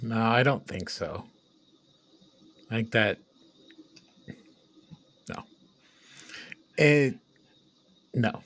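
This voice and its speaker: A man's voice: lowish voice, expressive, extremely slow, pauses often, a bit bright. A man co-hosting a podcast, chatting casually and reacting in a hesitant, informal, conversational way. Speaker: podcast co-host. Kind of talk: casual chat, reacting to a recap.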